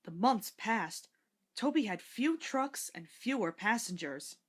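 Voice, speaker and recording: A woman's voice: mid-range voice, animated, slow. A woman reading a scripted children's story aloud as narrator.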